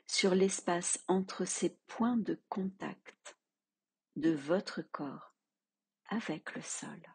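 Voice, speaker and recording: A woman teaching yoga nidra, leading a guided relaxation in a soft, calm, measured way with long pauses. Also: on the low side, slightly rough.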